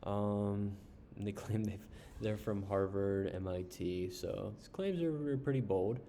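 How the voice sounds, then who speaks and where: A man casually reviewing an online service while browsing its pages, unhurried, with frequent ums and uhs. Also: on the low side, mellow, a little hiss or hum. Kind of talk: casual screen-share review.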